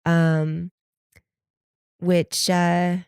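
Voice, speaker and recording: A woman's voice: on the low side, flat delivery, drawn-out words, a little mellow, clean tone, no echo, clean studio sound. A congested woman, starting to lose her voice, rambling candidly and casually as a solo podcast host, slangy and conversational. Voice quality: stuffy, congested nose. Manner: candid, conversational, slangy, acts out imagined quotes.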